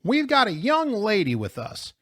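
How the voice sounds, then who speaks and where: A man's voice: mid-range voice, big pitch swings, takes it slowly, breathy edge, no room sound, studio quality, pitched above the performer's own voice, exaggerated voice. A man voicing a firm, weary but resolute older woman in an audiobook story. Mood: scolding.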